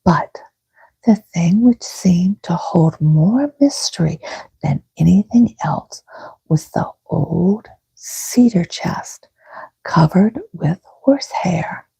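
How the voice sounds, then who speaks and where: A woman reads a bedtime story in a very breathy near-whisper with a low, soft pitch. She takes her time, gentle and soothing, in an ASMR style. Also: animated, slow pace, no room sound, rough recording. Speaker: host reading bedtime stories.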